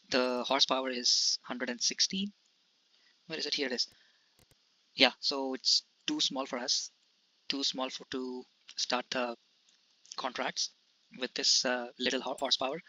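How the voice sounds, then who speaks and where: Bright-voiced man narrating his farming game. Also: medium pitch, low-quality recording. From India. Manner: hesitant, many "uh" fillers and restarts. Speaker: gaming channel host. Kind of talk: casual gameplay commentary.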